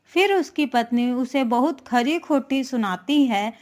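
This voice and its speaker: Slow, high-voiced woman storyteller. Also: expressive. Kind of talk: scripted moral story narration for a cartoon.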